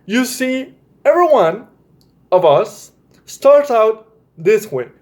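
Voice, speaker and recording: Slow, animated man hosting children's storytime. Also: high-pitched. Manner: addresses children directly, often checks with "right?". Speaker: storytime host reading to children. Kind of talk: explaining to children, read-aloud storytelling.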